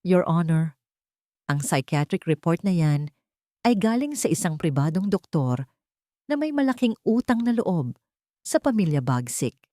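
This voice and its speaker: A woman's voice: on the low side, very animated, a little slow, long pauses, mellow, no room sound, studio quality. A woman voicing a righteous lawyer who argues in court in a formal, assertive manner. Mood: firm, righteous. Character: righteous, firm lawyer challenging evidence in court.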